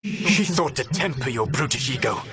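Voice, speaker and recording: A man acts out an ancient elven god from a fantasy video game, a rebel leader turned calculating mentor. His middle-pitched male voice is grave and measured, with formal, deliberate phrasing that carries solemn weight. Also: lively intonation, big-room sound, loud music behind the voice, close to an everyday voice. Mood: cold, defiant. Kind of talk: video game dramatic voice acting.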